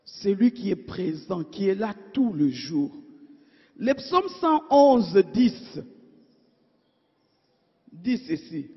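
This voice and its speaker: A woman preacher delivering a sermon, asking her congregation rhetorical questions and swinging between emphatic and hushed delivery. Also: medium pitch, big pitch swings, slow, pauses often, breathy edge. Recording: dull sound in a reverberant church hall.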